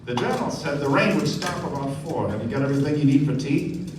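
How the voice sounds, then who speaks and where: A man's voice: medium pitch, quick pace, lightly acted. An actor playing a nervous, eager, genteel rich man with clipped politeness in a radio play. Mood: anxious. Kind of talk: live radio play acting. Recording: very echoey, distant, noisy stage recording.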